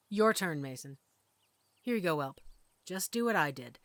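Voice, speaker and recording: Woman acting as a low-voiced, commanding werewolf mother. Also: no echo. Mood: steady, instructing. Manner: calm, commanding, protective. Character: authoritative werewolf mother, leader of her pack. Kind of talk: audio roleplay acting.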